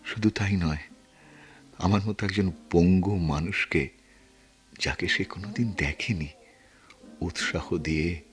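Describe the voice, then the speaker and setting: A man's voice: low-pitched, measured pace, long pauses, soft background music. An actor playing an earnest, emotional man in love in a radio drama. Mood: earnest, moved.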